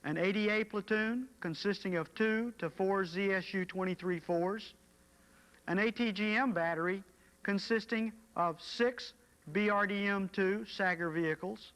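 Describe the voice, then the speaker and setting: A man narrates a military training film in a formal, measured way. His voice sits high and sounds bright and forceful. The archival soundtrack carries a long reverb tail.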